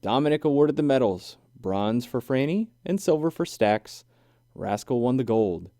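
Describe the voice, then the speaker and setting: A man reading a children's story aloud in an expressive, deliberate, sing-song way. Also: mid-range voice, no echo, clean studio sound. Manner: animated, deliberate, sing-song rhyming delivery. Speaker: children's book reader.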